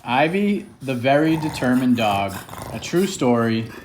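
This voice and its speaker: A man with a medium-pitched voice reading a children's picture book aloud. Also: lively intonation, unhurried, a little hiss or hum. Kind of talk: read-aloud children's story.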